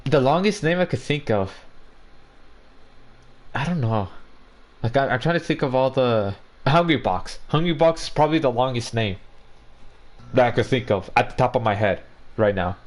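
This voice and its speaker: A man's voice: mid-range voice, animated, moderate pace, long pauses. Young man rambling in casual podcast chat. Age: 20s. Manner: rambling, repeats and restarts words. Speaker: podcast co-host.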